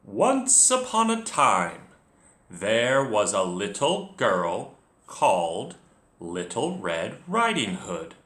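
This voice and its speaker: Expressive, bright-voiced man teaching children to read. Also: fairly low pitch, slow. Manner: expressive, addresses the learner directly. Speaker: children's reading teacher, storyteller. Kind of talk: encouraging read-along lesson with calm, clear story narration. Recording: echoey room.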